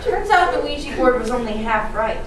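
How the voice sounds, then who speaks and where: A woman's voice: on the high side, animated, brisk, a loud crowd. A young woman narrating a scripted story as a readers theatre performer. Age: young adult. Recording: distant, echoey hall with clear noise.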